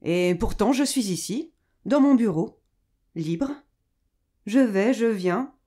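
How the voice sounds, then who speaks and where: A woman's voice: mid-range voice, animated, takes it slowly, mellow. A woman playing an indignant, haughty businesswoman who answers cuttingly, in a French audio drama. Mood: cold, irritated. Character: defiant, indignant businesswoman under police questioning.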